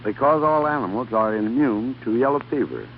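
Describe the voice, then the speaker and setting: A man's voice: medium pitch, animated, fairly quick. A man recounting his experience as a radio guest, sincere and solemn. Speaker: interview guest, former volunteer. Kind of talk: earnest recounting on 1930s radio. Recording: tinny archival radio recording with hum.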